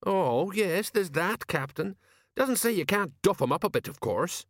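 An older police sergeant, acted out by a male audiobook reader, pitched up from the reader's own voice. He is chatty and defensive, rambling along in folksy, working-class speech. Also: lively intonation, unhurried, no room sound, clean studio sound. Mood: sheepish, rambling.

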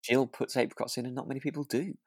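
A man's voice: mid-range voice, fairly even intonation, fast, darkish tone, no room sound. A British man talks as a podcast co-host in an easy, conversational style. His delivery is hesitant and meandering, and the sound is dry and close. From United Kingdom. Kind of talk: casual chat.

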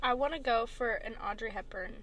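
A teenage girl chatting as a guest on a friends' podcast, animated, with loud laughs and filler words. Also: fairly high pitch, a little fast, crowd noise, rough recording. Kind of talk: casual chat among friends.

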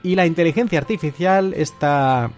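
A male podcast host with an accent from Spain speaks in a somewhat high voice. He narrates alone in a conversational, storytelling style. Faint music plays behind him. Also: moderate pace, a little mellow. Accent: Spanish from Spain. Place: at home.

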